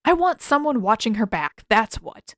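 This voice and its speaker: A woman's voice: fairly high pitch, big pitch swings, moderate pace, a bit bright, dry recording, clean studio sound. A woman voicing a blunt, irritable, sarcastic teenage boy in an audiobook-style story, speaking casually and emphatically. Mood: exasperated, snappy.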